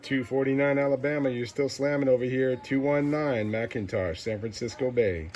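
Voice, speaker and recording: A man's voice: medium pitch, normal speed. A man working as a CB radio operator, calling out clipped, repeated callsigns and slang. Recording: loud radio static and hiss. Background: loud radio noise.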